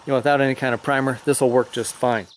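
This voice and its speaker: A man's voice: mid-range voice, fairly even intonation, fast talker. A man in his forties hosting a casual do-it-yourself video, chatting in a folksy way while he works outdoors in a yard. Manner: chatty, folksy, talks while working with hands. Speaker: DIY video host. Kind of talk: casual how-to demonstration. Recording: outdoor hiss and birds. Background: birds and outdoor ambience.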